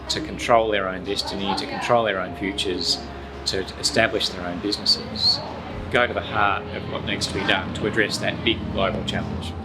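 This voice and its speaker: A man speaking for a foundation in a measured, formal interview statement. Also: sits low. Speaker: foundation representative. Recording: loud music behind the voice.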